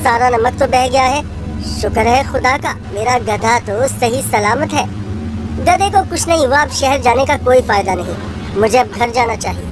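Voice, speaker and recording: A woman's voice: fairly high pitch, moderate pace, lightly acted, poor audio. A woman playing an earnest, exasperated merchant man in a children's moral tale, exclaiming and scolding as the donkey's owner. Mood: dismayed. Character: caring but exasperated male salt merchant, donkey's owner. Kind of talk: animated storybook character acting. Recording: music behind the voice.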